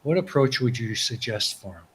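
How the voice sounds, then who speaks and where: A man's voice: mid-range voice, expressive, normal speed, slight background noise. A man hosting a live stream from home, reading out viewers' chat questions in a friendly, affirming way. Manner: friendly, affirming with many "mm-hmm"s.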